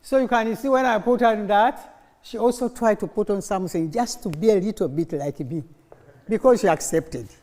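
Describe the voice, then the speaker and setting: A mature Ugandan woman with a Ugandan accent and Luganda as her first language, giving an informal conference speech as an animated storyteller who prompts her listeners with questions. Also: medium pitch, normal speed. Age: middle-aged or older. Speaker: conference speaker. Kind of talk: informal conference speech with audience call-and-response. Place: conference hall, live talk to an audience. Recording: echoey hall, long reverb.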